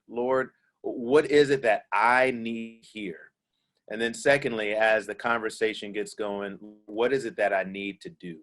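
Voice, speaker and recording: A man who pastors a church, moderating a discussion over a video call, earnest and halting with many fillers. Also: mid-range voice, a bit bright.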